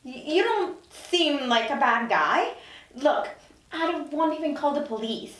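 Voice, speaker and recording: A woman's voice: high voice, big pitch swings, a little slow, a bit bright, heavily acted. A woman playing a manipulative, frantic captive woman in her late thirties at a dramatic table read. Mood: coaxing. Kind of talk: dramatic script reading. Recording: roomy, distant mic.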